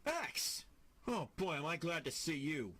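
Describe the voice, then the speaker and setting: A man's voice: a little high, sing-song, measured pace, fairly bright tone, pitched above the performer's own voice, full character voice. A man playing an earnest, bold armored hero in an audio drama, with emphatic delivery. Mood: relieved.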